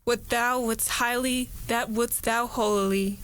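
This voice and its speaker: A young woman playing an ambitious, ruthless noblewoman in a Shakespearean radio play, speaking verse deliberately and dramatically. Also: a little high, fairly even intonation, fairly bright tone. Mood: scheming resolve. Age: young adult. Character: ambitious, ruthless noblewoman invoking dark spirits.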